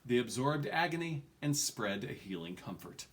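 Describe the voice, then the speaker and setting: A man's voice: mid-range voice, sing-song, normal speed. A middle-aged man reading a fantasy novel aloud at home, expressively, stressing key words. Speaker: amateur book reader. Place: small furnished room at home.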